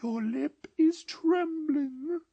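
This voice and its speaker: Audiobook ghost,: gentle and otherworldly, very high and dark-toned, unhurried. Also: female voice, no room sound, lo-fi sound, exaggerated voice. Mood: solemn. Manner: slow, solemn and mild. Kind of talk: audiobook dramatization.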